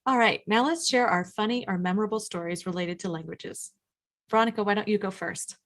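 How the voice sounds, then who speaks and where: A woman co-hosting a podcast, friendly and engaged. She chats easily, encourages her partner as she speaks, and tells her own story. Her voice sits at an ordinary pitch. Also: a little fast, a bit bright. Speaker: podcast co-host. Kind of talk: live podcast chat, storytelling.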